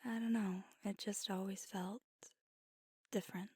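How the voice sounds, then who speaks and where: A woman's voice: mid-range voice, steady tone, unhurried, no room sound. A woman playing a whispery bookish vampire. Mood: reflective. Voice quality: soft whispery, breathy. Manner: thoughtful, gently teasing. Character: flirty bookish female vampire on a date. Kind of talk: ASMR roleplay. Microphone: close mic. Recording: dry close-mic ASMR.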